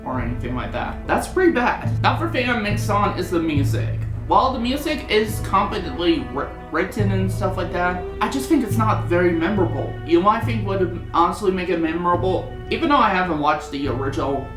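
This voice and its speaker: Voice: medium pitch, animated, fairly quick, hardly any pauses. Casual film reviewer in a roomy space. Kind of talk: casual opinionated video review commentary. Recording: roomy echo, music underneath.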